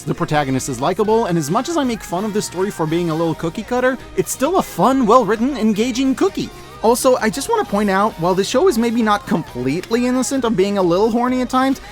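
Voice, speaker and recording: Male reviewer, high register, very expressive swings, emphatic and wry, casual scripted commentary over music. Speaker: video reviewer.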